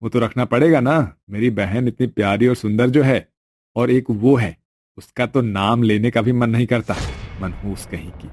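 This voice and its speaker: A man acts out a bitter, contemptuous elder brother from a moral family story. He scolds in a mellow, middling voice, recorded dry and close. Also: medium pitch, normal speed, no room sound, natural voice. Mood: doting, then contemptuous. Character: bitter, scornful elder brother, doting only on favoured sister.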